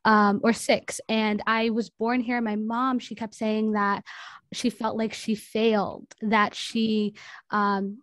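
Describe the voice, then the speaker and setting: A woman with a slightly high voice speaks haltingly on a video call, pausing and apologizing as she goes. Her sound is close and dry. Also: level tone, dry recording. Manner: halting, apologetic, full of fillers. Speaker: panelist. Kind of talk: emotional personal sharing.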